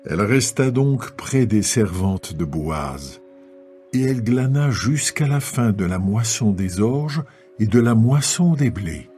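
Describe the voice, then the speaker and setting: A man narrating dramatised scripture in a solemn, measured storytelling delivery. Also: lowish voice, lively intonation, warm tone, soft background music.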